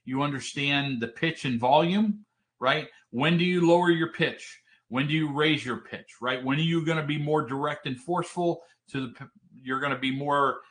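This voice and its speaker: A man with a deep, commanding voice teaching a webinar, explaining points steadily and often checking in with a quick "right?". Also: a bit bright. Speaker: trainer. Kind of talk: webinar lecture.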